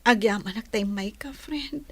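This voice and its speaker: A woman's voice: a little high, measured pace, darkish tone. A woman playing a long-suffering, aggrieved pregnant village wife in a radio drama, plaintive and reproachful. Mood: sad, resentful. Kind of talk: Ilocano radio drama acting.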